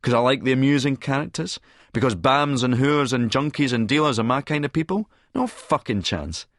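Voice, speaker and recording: A man's voice: medium pitch, animated, moderate pace, fairly bright tone, dry recording, studio quality. A man playing a cynical middle-aged Scottish schemer who narrates in a verbose, sardonic, lecturing manner. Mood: scornful, sardonic. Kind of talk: first-person audiobook narration with dialogue.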